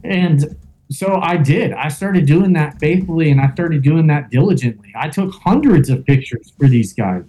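Male interview guest, loud, mellow tone, slightly high, rambling first-person storyteller. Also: animated, normal speed, poor audio. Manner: storytelling with fillers and reenacted quotes.

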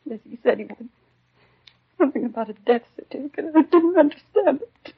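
An actress playing a shaken, devoted American housewife just widowed, speaking politely in short sentences in a 1950s radio drama. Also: female voice, high-pitched, lively intonation, fairly quick. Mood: distraught. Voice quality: husky. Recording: dull 1950s radio recording.

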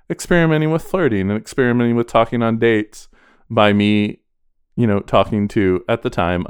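A man's voice: fairly low pitch, clean tone, dry recording, studio quality. A man co-hosting a podcast in casual chat, talking in a chatty, self-deprecating way. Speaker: podcast co-host.

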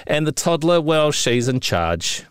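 A man's voice: fairly high pitch, unhurried, clear, resonant tone, dry recording. New Zealand man, dry close sound. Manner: swears freely, animated storytelling with rising indignation. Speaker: podcast co-host. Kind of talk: casual chat.

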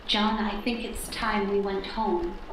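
A woman's voice: medium pitch, steady tone, slow, close to an everyday voice. Woman playing troubled young wife, radio drama, brooding, hesitant, earnest, cavernous echo, background noise. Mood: subdued, troubled. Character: anxious, secretive young wife and mother confined to nursery. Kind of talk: radio play acting, intimate monologue and dialogue. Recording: very echoey room with background noise.

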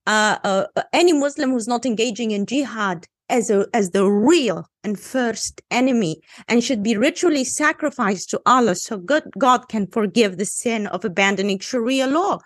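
Analyst type, woman, Egyptian accent, native Arabic, pitched a touch high, insistent and emphatic, dry close sound. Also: no echo. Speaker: guest analyst. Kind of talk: panel discussion.

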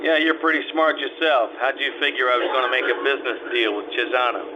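An actor playing a wisecracking hard-boiled private eye in a live old-time radio play, dry and sardonic. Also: male voice, mid-range voice, steady tone, fast talker, background noise, lightly acted. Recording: muffled lo-fi recording.

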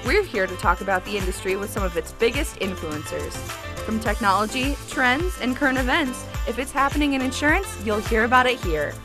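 A woman reading a smooth, upbeat scripted intro for a podcast. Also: a little high, a little fast. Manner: smooth, upbeat promotional delivery. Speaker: show announcer. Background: background music.

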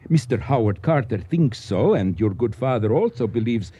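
Mellow, courtly storyteller. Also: male voice, mid-range voice, expressive, husky. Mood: courtly, gently grave. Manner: formal, courteous, florid, deliberate phrasing. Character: courtly Egyptian man, learned, nostalgic storyteller. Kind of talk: radio drama acting. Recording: dull, muffled old radio recording.

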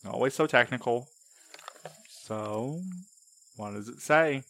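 An actor playing a wry, irreverent courier in an audio drama, talking in a casual, slangy, sarcastic way. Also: male voice, medium pitch, takes it slowly, fairly bright tone. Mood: dryly teasing. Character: wry, irreverent male courier, reluctant and uneasy. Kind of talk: naturalistic full-cast audio drama.

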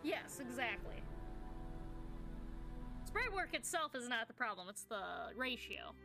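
A woman's voice: a little high, big pitch swings, slow pace, gravelly, music in the background. A woman co-hosting a podcast, chatting casually and playfully about video games with a joking manner. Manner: playful, joking, laughs often. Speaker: podcast co-host.

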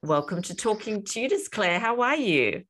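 A woman's voice: mid-range voice, big pitch swings, moderate pace, a bit bright, soft background music. A woman hosting a podcast, presenting introductions and interview questions. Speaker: podcast host. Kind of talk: scripted podcast narration and interviewing.

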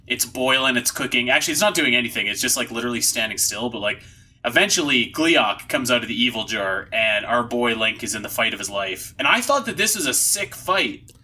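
A man's voice: medium pitch, lively intonation, brisk, bright, forceful tone. A man hosting a podcast, recapping in casual, slangy, comedic chat full of hyperbole. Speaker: podcast host.

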